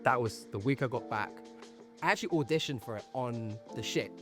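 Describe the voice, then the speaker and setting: A man's voice: medium pitch, animated, fairly quick, music in the background. Chatty candid young man. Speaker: actor, podcast host. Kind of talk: casual podcast chat.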